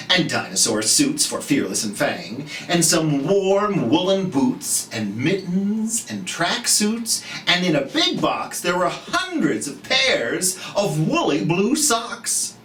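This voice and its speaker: A children's storytime host reading a picture book aloud, slowly and expressively, with chatty asides to young listeners. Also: mid-range voice. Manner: slow, expressive, speaking directly to children. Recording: roomy, distant mic, background noise.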